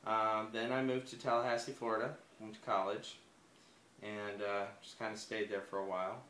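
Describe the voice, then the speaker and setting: A man in his forties being interviewed, talking in a hesitant, soft-spoken way with many fillers and restarts. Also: on the low side, little pitch movement, normal speed. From United States. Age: 40s. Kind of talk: personal interview. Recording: echoey room, faint ambient noise.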